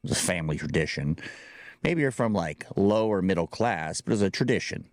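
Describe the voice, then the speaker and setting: An older man commenting in his own video, speaking in a slow, measured, lecturing way. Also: deep voice, expressive, no echo, clean studio sound.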